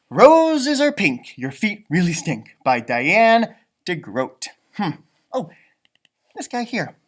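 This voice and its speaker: A man hosting a storytime for children, reading and chatting in a theatrical, halting, conversational way. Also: high-pitched, fairly bright tone. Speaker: storytime host and teacher. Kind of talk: children's storybook read-aloud with chatty asides.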